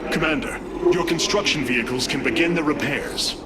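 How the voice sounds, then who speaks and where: An actor playing a noble alien warrior leader in a video game, speaking in a formal, stately, commanding manner. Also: male voice, a little high, a little fast, heavy background noise, close to an everyday voice. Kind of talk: video game cinematic acting. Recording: loud music and effects behind.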